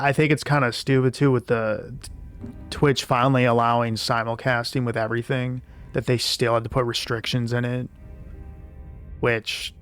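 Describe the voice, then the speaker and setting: A man with a raspy voice streaming a game, chatting in a relaxed, rambling way with frequent restarts. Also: mid-range voice. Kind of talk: casual gameplay commentary. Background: game music.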